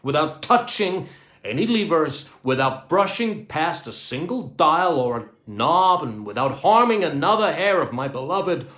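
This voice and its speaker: A man's voice: on the high side, expressive, measured pace, a bit bright, roomy sound. A man voicing a nervous, fatherly rocket captain who coaxes and fusses. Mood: coaxing. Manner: coaxing, fussy.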